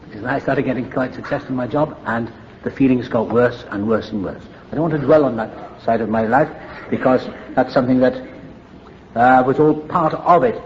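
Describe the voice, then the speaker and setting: A man's voice: medium pitch, moderate pace, darkish tone, muffled recording, slight background noise. An older man with a Welsh accent, candidly telling his recovery story to a meeting in a reflective, wry way. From Wales. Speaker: AA meeting speaker.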